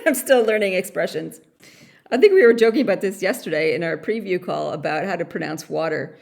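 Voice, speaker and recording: A woman's voice: on the low side, lively intonation, fairly quick, gravelly, a little mellow. A woman from Canada hosting a podcast interview in a playful, chatty way. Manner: playful, laughs easily. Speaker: podcast host. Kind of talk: casual interview chat.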